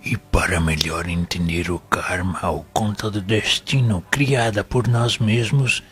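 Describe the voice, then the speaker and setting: A man performs a humble, wise spirit minister in a Brazilian audio drama, with music behind him. His male voice is very deep and unhurried, with a Brazilian accent. He teaches like a gentle, solemn father. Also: steady tone, slightly rough, exaggerated voice. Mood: didactic, solemn. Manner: solemn, gentle, measured, paternal. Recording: voice over background music.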